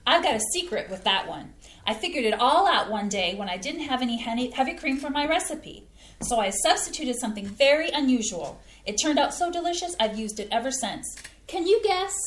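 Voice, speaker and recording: A woman's voice: high-pitched, big pitch swings, brisk, fairly bright tone, echoey room. A woman reading the part of a cheerful mother who proudly explains her home recipes in a chatty, folksy way, in a community staged reading. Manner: chatty, folksy, eager to please. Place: small community playhouse stage.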